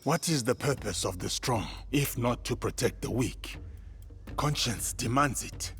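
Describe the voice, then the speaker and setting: An actor playing an earnest, humble samurai warrior in a video game, with formal and solemn phrasing. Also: male voice, mid-range voice, measured pace, fairly bright tone. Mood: solemn, thoughtful. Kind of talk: dramatic video game acting.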